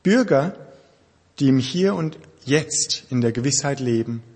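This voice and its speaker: A German man preaching a sermon in a thoughtful, conversational way, pausing often with hesitations. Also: mid-range voice, lively intonation, darkish tone, breathy edge, in a large hall. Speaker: pastor.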